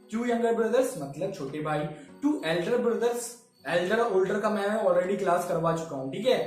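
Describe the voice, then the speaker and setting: Man, high voice, very echoey room, classroom teacher, checks understanding. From Bihar, India. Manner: mixes Hindi and English, asks "samajh mein?". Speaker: English teacher. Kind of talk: classroom lesson.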